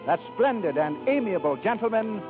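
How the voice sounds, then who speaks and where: A man's voice: high-pitched, expressive, moderate pace. A man reading a scripted introduction as a 1930s radio announcer. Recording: tinny, band-limited 1930s radio broadcast, music.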